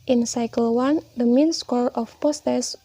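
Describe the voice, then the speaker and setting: A woman's voice: a little high, steady tone, a little slow, dark tone. A young woman with an Indonesian accent, a student reading her poster presentation aloud carefully and steadily, now and then correcting herself. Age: young adult.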